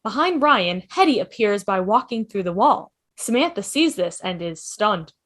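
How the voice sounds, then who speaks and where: A woman's voice: mid-range voice, big pitch swings, normal speed, fairly bright tone. A woman reading scripted stage directions as the narrator of a table read over a video call.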